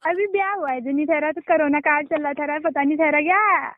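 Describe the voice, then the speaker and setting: Woman on a phone call, high voice, sly and dismissive, phone-line sound. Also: a little fast, no echo. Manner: evasive, teasing, dismissive. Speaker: prank call receiver.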